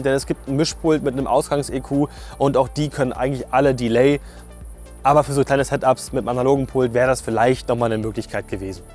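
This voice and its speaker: A man speaks in a slightly high voice, reviewing audio equipment like a hands-on sound technician. His delivery is colloquial and opinionated, in the loose style of a casual spoken review. Faint music plays behind him. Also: a little mellow.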